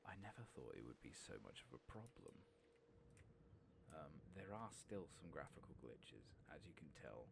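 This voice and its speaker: A man giving soft-spoken ASMR game commentary, hushed and unhurried, often slipping into a whisper. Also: background noise. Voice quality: gravelly, breathy low voice with whispering. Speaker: streamer and host. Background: video game ambience.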